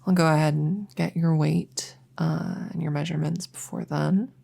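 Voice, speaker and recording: A woman playing a gentle, reassuring witch veterinarian in a soft-spoken ASMR roleplay, soothing and a little hesitant as she chats to her patient. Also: deep voice, fairly even intonation, moderate pace, creaky, exaggerated voice. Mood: gentle, explaining. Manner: soothing, hesitant, chatty bedside manner.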